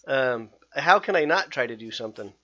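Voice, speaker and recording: Excitable rambling man, dull lo-fi sound. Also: medium pitch, brisk. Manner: rambling, excitable, many restarts and fillers. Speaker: podcast host. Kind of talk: casual podcast chat.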